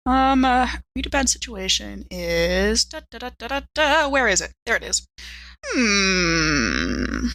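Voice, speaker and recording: A woman plays a tabletop roleplaying game in casual, playful style. Her voice is bright and sharp, recorded close and dry, and she slips between table chat and speaking as her character. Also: medium pitch, sing-song, takes it slowly, no room sound.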